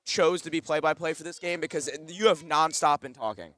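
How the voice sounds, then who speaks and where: A chatty male color commentator with a slightly higher-than-average voice, breaking down a college soccer match in a loose, opinionated way. He often thinks aloud with fillers and stretched-out phrases, and his voice sounds close and dry off a headset mic. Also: brisk, a bit bright, no echo.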